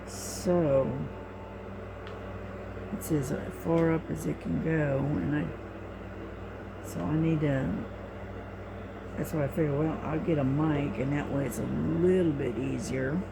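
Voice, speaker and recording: A middle-aged woman with a low voice, talking quietly and sleepily in a rambling way while diamond painting at home, recorded on a cell phone. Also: fairly even intonation, slow, gravelly, long pauses, background noise. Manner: quiet, soft-spoken and rambling. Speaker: crafting vlogger.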